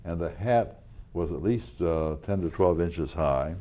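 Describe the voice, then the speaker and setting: An older man, a local historical reenactor, telling rambling stories in a casual interview, with a loud voice and many fillers and restarts. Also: low-pitched, expressive, measured pace. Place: community TV studio.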